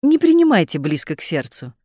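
A woman reading short phrase translations aloud for a language lesson in a clear, measured way. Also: on the low side, wildly swooping pitch, warm tone, dry recording, studio quality. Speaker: phrasebook reader.

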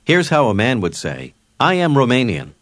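A man narrating a recorded language lesson, prompting the learner with clear scripted instructions. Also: mid-range voice, huge pitch swings, normal speed, a bit bright, dry recording, clean studio sound.

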